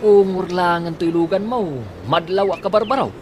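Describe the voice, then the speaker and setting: A man's voice: high voice, normal speed, background noise, natural voice. A man with an Ilocano accent playing a teasing, gossipy buddy with a drawl in a radio comedy drama. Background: music.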